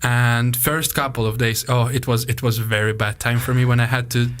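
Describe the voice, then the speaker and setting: A man's voice: mid-range voice, flat delivery, fairly quick, a bit bright. Young man, easygoing chatter, Russian native. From Estonia. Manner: loose and chatty, leaning on "like" fillers. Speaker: podcast guest. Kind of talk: casual conversation.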